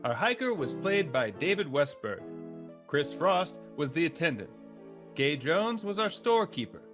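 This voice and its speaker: A man's voice: a little high, big pitch swings, moderate pace, fairly bright tone. A man announcing cast credits in a scripted radio style. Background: music.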